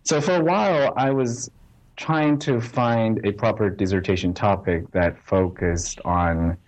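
A man from China, a history professor answering interview questions in a halting way with many fillers. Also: lowish voice, a little mellow, poor audio. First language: Chinese.

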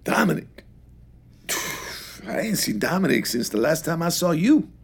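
An actor playing an excitable, sympathetic streetwise friend in naturalistic audio drama, with slangy, reactive delivery. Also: male voice, on the high side, moderate pace, creaky, slightly rough, heavily acted. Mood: gossipy, casual. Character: excitable, sympathetic male street-wise old friend, Luis.

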